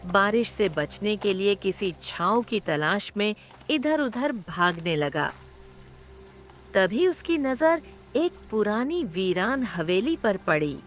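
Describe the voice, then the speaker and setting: A woman narrating a cartoon story in an expressive, measured storytelling manner. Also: medium pitch, faint music behind.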